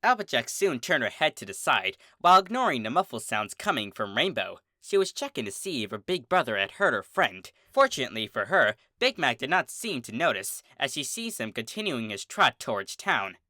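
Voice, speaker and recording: Animated, bright-voiced story narrator. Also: medium pitch, fairly quick, few pauses, dry recording, studio quality. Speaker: fan fiction reader. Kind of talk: expressive scripted story narration.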